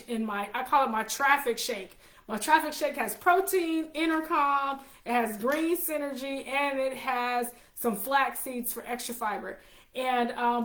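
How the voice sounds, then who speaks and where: A woman's voice: a little high, a bit bright. A woman in her forties hosting her own show in a casual, chatty way, congested with a slight cough and often repeating herself. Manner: chatty, repeats and restarts phrases. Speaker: host. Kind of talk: casual live-show chat. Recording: roomy echo.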